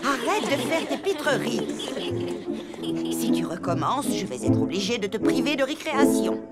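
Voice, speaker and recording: A strict schoolmistress from a children's cartoon. Her voice sits a little high and swings widely in pitch as she scolds in formal, proper phrases. Loud music plays underneath. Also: big pitch swings, normal speed. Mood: scolding. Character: stern, humourless elephant schoolteacher woman. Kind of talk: French children's cartoon dialogue.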